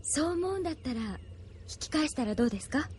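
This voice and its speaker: A woman's voice: sits high, lively intonation, fairly quick, darkish tone, slight background noise. A woman playing a frank, composed, supportive young female comrade in a Japanese anime audio drama. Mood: frank, reproachful.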